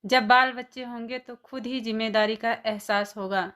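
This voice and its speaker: A woman playing a kind, indulgent mother-in-law in an animated family story, speaking gently and reassuringly. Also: on the high side, moderate pace, natural voice. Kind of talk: animated moral story dialogue.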